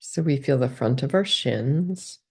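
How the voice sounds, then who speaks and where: A woman leading a guided body scan meditation, giving calm, gentle step-by-step instructions. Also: a bass voice, a little slow, slightly rough.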